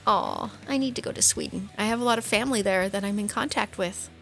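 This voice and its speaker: A woman co-hosts a podcast in a loose, casual chat. Her pitch is average for a woman, and she has a giggly way of talking, often laughing through her words. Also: animated, fast talker, vocal fry, fairly bright tone, soft background music. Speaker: podcast co-host.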